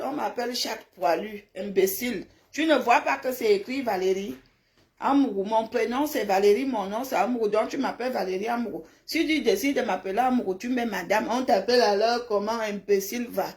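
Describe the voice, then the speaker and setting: A woman's voice: a little high, a little fast. A woman chatting on a live stream, blunt and scolding, emphatic and given to repeating herself. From francophone Africa, grew up in a village. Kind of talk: casual live stream chat, replying to viewers' comments.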